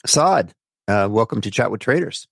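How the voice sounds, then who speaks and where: A man's voice: lowish voice, expressive, normal speed, gravelly. A man hosting a podcast interview, asking his guest casual questions.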